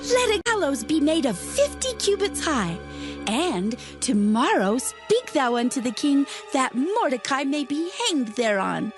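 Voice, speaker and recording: An actress playing the scheming wife of a royal minister in a dramatized scripture recording, counseling in an urgent, declamatory way. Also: female voice, high-pitched, big pitch swings, a little slow, exaggerated voice. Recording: studio voice with faint music.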